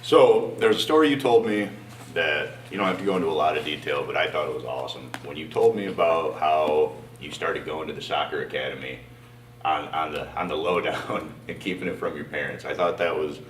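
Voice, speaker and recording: A deep-voiced man hosts an interview show with eager enthusiasm, drawing out his guest's story. He is recorded from a distance, with echo from the gym and a faint background hum. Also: a little fast. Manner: upbeat, enthusiastic, warm interviewer. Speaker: show host. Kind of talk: casual interview. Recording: roomy distant sound in a gym.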